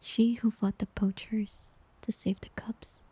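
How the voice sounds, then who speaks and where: Girl doing a sad tender bard for fantasy romance asmr, super breathy and whispery, slow and wistful. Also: mid-range voice, flat delivery. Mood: longing. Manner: slow, gentle, wistful delivery with pauses. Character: tender, heartbroken woman bard facing her former lover. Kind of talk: intimate ASMR romantic fantasy audio roleplay.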